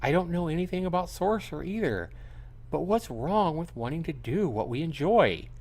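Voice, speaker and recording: Man putting on a high-pitched pleading young woman. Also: expressive, normal speed, darkish tone. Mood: earnest, hurt. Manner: pleading, hesitant. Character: soft-spoken young woman pianist, earnest, pleading peacemaker. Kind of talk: visual novel character read aloud.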